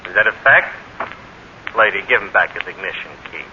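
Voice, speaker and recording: A man's voice: low-pitched, lively intonation, fairly quick. A man playing a bossy, sarcastic policeman with mock-patient condescension, in 1940s radio acting style. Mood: stern, sardonic. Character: sarcastic, bossy male beat cop. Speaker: radio actor. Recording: muffled, noisy 1940s radio broadcast.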